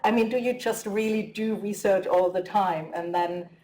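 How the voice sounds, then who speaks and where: A woman's voice: mid-range voice, steady tone, normal speed, darkish tone. A woman academic moderating an on-stage talk. She speaks eagerly and fluently and builds up at length before asking her questions. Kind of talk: interviewing a guest on stage. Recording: roomy echo.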